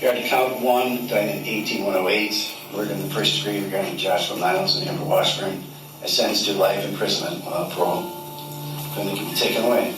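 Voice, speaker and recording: Very echoey, deep-voiced stern male judge. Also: fairly even intonation, fast. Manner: stern, formal. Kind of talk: courtroom sentencing. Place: courtroom. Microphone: distant microphone. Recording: very echoey, noisy, distant courtroom audio.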